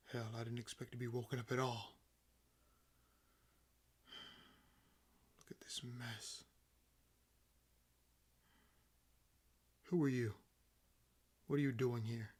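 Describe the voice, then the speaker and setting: A man playing a sardonic, freshly awakened vampire in a roleplay audio drama, talking casually and haltingly. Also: medium pitch, a little mellow, close to an everyday voice. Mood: wary, bemused.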